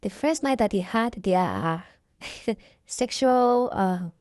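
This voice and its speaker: A woman talks through reality-show drama in a relaxed, opinionated way, checking in with her viewers as she goes. Her voice is mellow and warm at a middling pitch. The recording is dry and close. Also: slow pace, dry recording. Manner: chatty, opinionated, laughs often, seeks agreement. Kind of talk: casual reaction commentary.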